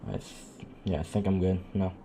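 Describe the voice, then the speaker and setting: A man live streaming, chatting casually off the cuff in a halting, muttering, sweary way. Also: sits low, steady tone, warm tone, slight background noise.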